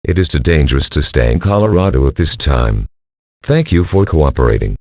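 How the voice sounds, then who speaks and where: A man's voice: extremely low pitch, very animated, fairly quick, dry recording. A synthetic-sounding man reading a scripted evacuation alert, formal, stilted and impersonal. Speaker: emergency alert announcer. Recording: dull, dry lo-fi alert audio.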